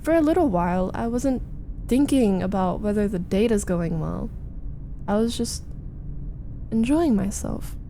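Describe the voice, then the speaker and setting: A woman voicing a flustered, needy young roommate in a chatty, halting, defensive roleplay audio, confiding as she vents. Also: medium pitch, lively intonation, gravelly, dark tone, a little hiss or hum. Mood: fond, wistful. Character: flustered, needy young female roommate venting about a date. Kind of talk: roleplay ASMR audio acting.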